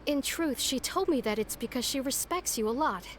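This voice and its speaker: Polite, fairly high-voiced academy messenger. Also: female voice, animated, moderate pace, slight background noise. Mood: earnest, polite. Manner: polite, explanatory. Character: polite, earnest female academy go-between. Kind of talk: video game voice acting.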